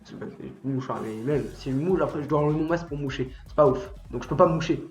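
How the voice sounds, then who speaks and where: A man's voice: fairly high pitch, quick pace. A teenage boy with a cold and a stuffy nose, rambling and swearing as he chats on a gaming stream. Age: teen. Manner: rambling, swears freely, bursts into angry shouting at the game. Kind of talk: casual gaming stream chat. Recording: roomy echo, dull lo-fi sound, faint music.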